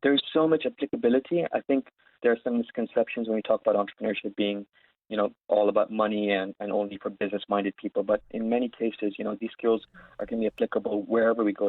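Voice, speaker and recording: Male interview guest, twenties, from Saudi Arabia, fast conversational delivery, dry narrow call-line sound. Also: lowish voice, fairly even intonation, no room sound. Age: 20s. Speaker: interview guest, founder of an education platform. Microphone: remote call line. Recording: narrow phone-like live line.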